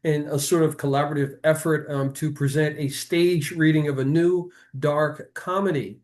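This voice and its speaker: A man's voice: a little high, fairly even intonation, normal speed, darkish tone. A man hosting an interview in a conversational way, addressing guests and announcing details. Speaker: interview show host.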